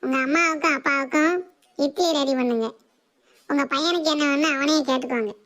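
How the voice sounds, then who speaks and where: A woman voicing an assertive, sharp-tongued housewife and mother in a comic cartoon. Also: sits high, brisk. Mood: bossy. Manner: bossy, challenging, sarcastic.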